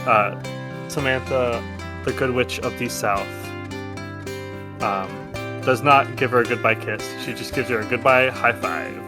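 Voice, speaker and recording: A man runs a tabletop game, narrating loosely and conversationally. His pitch is average, and guitar music plays clearly underneath. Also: steady tone, a little slow, long pauses. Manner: casual, conversational storytelling. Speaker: game master.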